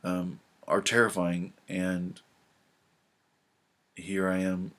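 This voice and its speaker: A man's voice: low voice, monotone, extremely slow, fairly bright tone. A man with a stuffed-up, nasal voice from a cold, talking casually to camera and reading from his notes with many ums and restarts. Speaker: astrology video presenter.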